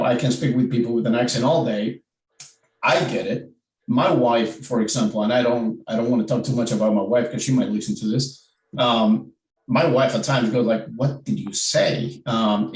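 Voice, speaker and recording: A man's voice: medium pitch, a little mellow, echoey room, distant mic, poor audio. A man from Argentina with an Argentine Spanish accent, speaking reflectively on a virtual panel and often repeating words as he thinks.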